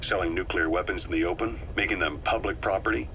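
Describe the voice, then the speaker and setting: An actor playing a wary, embittered mercenary second-in-command in a video game, speaking in a grave, emphatic, dramatic way. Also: male voice, sits low, fast talker, fairly bright tone, a little hiss or hum. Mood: grave, suspicious. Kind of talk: scripted video game dialogue.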